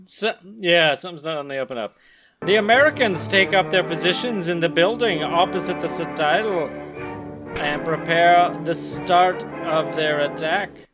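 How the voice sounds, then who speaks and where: A man with a fairly high voice hosts a comic read-along. He moves between casual riffing and dramatic narration, with clear music behind him. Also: normal speed. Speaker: podcast host and narrator.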